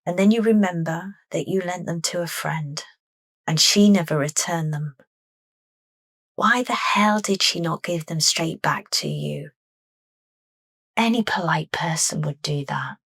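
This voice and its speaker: Female meditation narrator: low register, soft and deliberate, dry studio-like sound. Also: dry recording. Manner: soft, unhurried, deliberate phrasing. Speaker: meditation guide.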